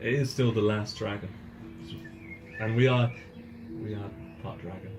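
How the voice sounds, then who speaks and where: A man's voice: on the low side, fairly even intonation, a little slow. A man playing a tabletop game, chatting in a casual, slangy way. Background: faint ambient music.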